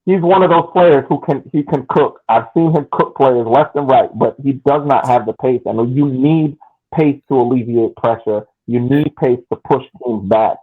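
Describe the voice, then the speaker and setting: A man's voice: mid-range voice, normal speed, breathy edge. A man co-hosting a soccer fan podcast, blunt and emphatic, hammering his point home. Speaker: podcast co-host. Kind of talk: casual opinionated chat. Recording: tinny phone-like line.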